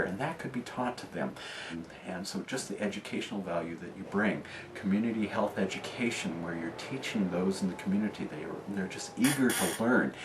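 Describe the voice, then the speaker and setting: A low-pitched man describes clinic work in a measured, clinical way, pausing often on fillers. A faint hiss sits under his voice. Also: rough recording. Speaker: clinic medical staff. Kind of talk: informal recruiting talk.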